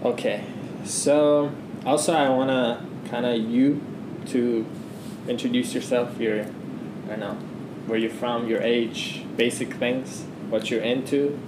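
A man hosting a podcast in an easygoing, casual way, agreeing often and keeping things light. His voice sounds roomy and echoey. A clear hum of background noise runs under it. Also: medium pitch, steady tone, measured pace. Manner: laid-back, laughs easily, many "yeah"s. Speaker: podcast host. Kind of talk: casual conversation.

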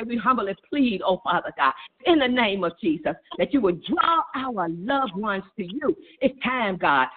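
A woman leading fervent charismatic prayer in an impassioned, repetitive, chant-like way over a tinny phone line. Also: a little high. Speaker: prayer leader. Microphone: telephone.

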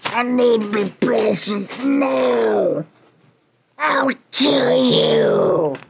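A slow gravelly treasure-crazed creature. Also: male voice, high-pitched, full character voice. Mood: frantic. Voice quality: gravelly, croaking. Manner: drawn-out, whining, cursing demands. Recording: muffled lo-fi with steady background noise.

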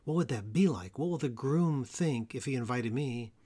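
A man hosting an educational podcast about stuttering, speaking in an earnest, measured way and slowing down on key phrases. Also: medium pitch, animated, mellow, breathy edge. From Atlanta area, US. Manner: earnest, measured delivery with slow emphatic phrases. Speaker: podcast host, stuttering therapist. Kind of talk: solo educational podcast talk.

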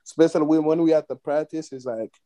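A man's voice: fairly high pitch, quick pace, mellow. A man who plays soccer, speaking English as an additional language in a casual, earnest way. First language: non-English first language. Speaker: soccer player. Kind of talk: casual podcast chat.

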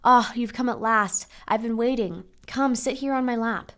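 A woman plays a loving storybook father. The delivery is gentle and patient, full of reassurance and forgiveness. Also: a little high, moderate pace, lightly acted. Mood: welcoming. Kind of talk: storybook character reading.

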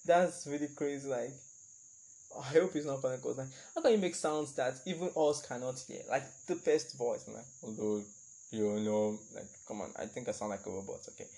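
A man's voice: medium pitch, moderate pace, dark tone, low-quality recording. A young man hosting a casual solo podcast, chatty and rambling, laughing at his own remarks. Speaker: podcast host.

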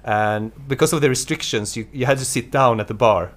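A man chatting casually and telling a personal story in a halting, rambling way. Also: mid-range voice. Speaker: conversation participant telling a story.

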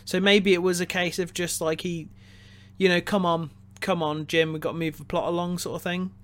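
High-voiced man in casual film chat. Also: fairly even intonation, fairly bright tone. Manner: tentative, measured, hedging opinions. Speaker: podcast co-host reviewing a film.

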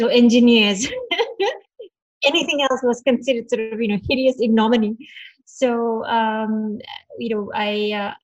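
A woman's voice: medium pitch, lo-fi sound. A woman in her forties from Malaysia, talking reflectively as an interview guest and often repeating words as she gathers her thoughts. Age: 40s. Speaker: podcast guest, doctor and cancer researcher.

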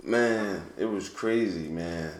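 A young man as a guest in casual chat, telling stories in a relaxed, slangy way. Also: low-pitched, takes it slowly. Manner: relaxed slangy storytelling, many "you feel what I'm saying". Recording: echoey room with faint noise.

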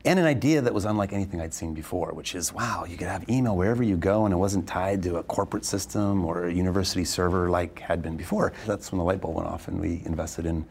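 A man from Arizona, a venture capitalist answering interview questions in a fast, animated way with quick restarts. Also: low voice, gravelly, slight background noise. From Arizona, USA.

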